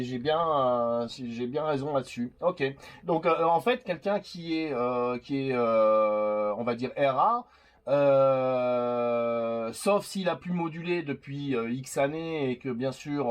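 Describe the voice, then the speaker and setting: A man in a casual CB radio chat, speaking politely and hesitantly as he circles round his point. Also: mid-range voice. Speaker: CB radio operator.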